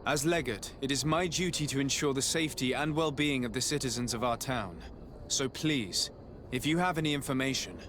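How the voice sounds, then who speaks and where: An actor playing a composed, insistent noble legate in a video game, speaking formally and persuasively. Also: male voice, medium pitch, brisk, background noise, close to an everyday voice. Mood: dutiful. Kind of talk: game cutscene acting.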